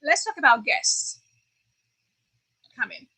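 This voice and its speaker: A woman's voice: high-pitched, sing-song, takes it slowly, pushed and bright, husky, no echo. A woman with an Italian accent, hosting a casual interview, chatty and full of fillers and restarts. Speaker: interviewer, yarn company host.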